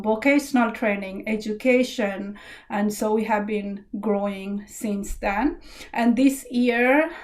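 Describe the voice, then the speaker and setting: A middle-aged woman from Nepal with a Nepali accent, giving an earnest, thankful founder's speech at an online gala. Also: a little high. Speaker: charity founder.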